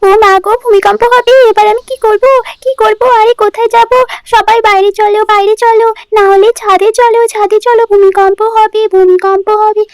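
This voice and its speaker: A woman's voice: very high voice, little pitch movement, brisk, few pauses, dry recording. A woman voicing a frantic, doting village mother in a melodramatic doll-puppet cartoon, wailing and repeating herself. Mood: panicked. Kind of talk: melodramatic puppet-story voice acting.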